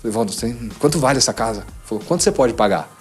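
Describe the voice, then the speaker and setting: São paulo guy in his 40s telling his story on a podcast, talks real fast, acts out the dialogue, faint music. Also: mid-range voice. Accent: São Paulo Brazilian. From Brazil. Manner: animated retelling with quoted dialogue. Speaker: podcast guest. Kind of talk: personal testimony, casual storytelling.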